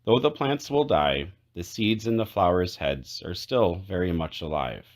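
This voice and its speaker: A man narrating a children's picture book aloud, phrase by phrase in a measured way with clear pauses. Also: low voice, expressive. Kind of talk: read-aloud storybook narration.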